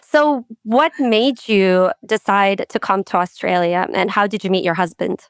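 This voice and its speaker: A woman hosting a podcast, introducing her guest and asking friendly, measured questions. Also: mid-range voice, clean tone, no room sound, studio quality. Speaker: podcast host. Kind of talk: podcast interview.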